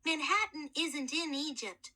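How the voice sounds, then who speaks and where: A woman's voice: sits high, measured pace, bright, forceful tone. A woman voicing a nervous young boy with a jumpy, breathless manner.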